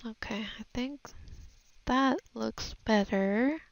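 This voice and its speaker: A woman's voice: a little high, takes it slowly, creaky, no room sound. A woman giving a casual, unscripted voice-over art tutorial, thinking aloud in a hesitant, murmuring way as she assesses her work. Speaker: digital art tutorial narrator.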